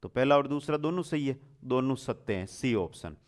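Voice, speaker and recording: A man's voice: medium pitch, animated, normal speed. A man teaching an exam-prep quiz lesson, reading out questions and explaining answers in a familiar, affectionate way with repeated key points.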